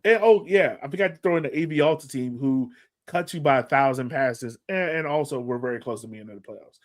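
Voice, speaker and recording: A man's voice: mid-range voice, brisk. A man co-hosting a sports podcast in casual fan chat, talking emphatically and repeating phrases to drive points home.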